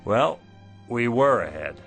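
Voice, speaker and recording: A man's voice: mid-range voice, very animated, slow, gravelly, a bit bright, soft background music, full character voice. An actor playing an anxious, impulsive middle-aged shopkeeper in a radio drama, with an emotional everyman delivery. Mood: wry, resigned.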